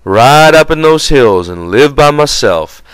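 A man voicing a simple, childlike, eager ranch hand in an audiobook, with drawn-out, ungrammatical rural speech. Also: medium pitch, lively intonation, clean tone, no room sound, pitched above the performer's own voice, lightly acted. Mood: crafty, sulky.

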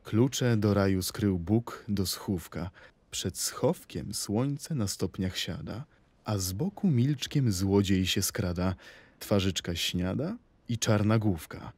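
A man's voice: lowish voice, slow pace, darkish tone, husky, no room sound. A man reciting poetry in a dramatic, scripted style for an audio play.

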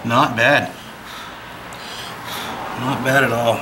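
A man's voice: medium pitch, steady tone, very slow, background noise. A man with a sniffle, casually talking through his work at a workshop bench, self-deprecating and thinking aloud. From United States. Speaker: metal scrapping YouTuber. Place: indoor workbench in a workshop.

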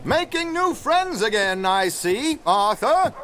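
A man playing a dapper, flamboyant gentleman rogue in a video game, with wry, flowery politeness. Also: very high voice, sing-song, slow pace, over music, exaggerated voice. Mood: arch, teasing. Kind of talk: dramatic video game cutscene acting.

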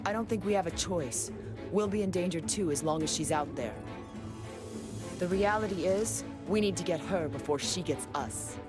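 A woman's voice: on the low side, normal speed, over music, lightly acted. A woman voicing a cool, sardonic young female android in an anime game, dry and blunt. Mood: matter-of-fact.

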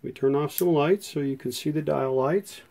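A man's voice: fairly high pitch, normal speed, creaky, dark tone. An American man giving a casual, informal demonstration as a folksy video host. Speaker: radio restorer hosting a demo video. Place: indoor workshop room.

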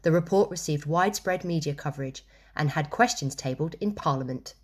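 A woman with a low-pitched voice hosts an interview. She delivers prepared introductions and questions in a scripted style. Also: brisk, darkish tone. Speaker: host, interviewer.

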